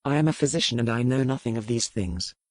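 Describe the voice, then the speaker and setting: Grave, courteous male doctor, deep and dry , voiced by a woman narrator. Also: no echo. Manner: formal, measured, courteous. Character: grave, earnest male country physician.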